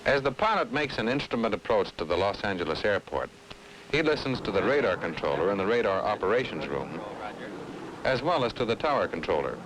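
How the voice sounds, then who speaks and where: A man acting as a friendly air traffic controller, explaining patiently and clearly in a 1960s educational film. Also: fairly low pitch, big pitch swings, fairly quick, crowd noise. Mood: helpful, explanatory. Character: friendly male air traffic controller explaining his work to a fellow traveler. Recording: noisy old film soundtrack.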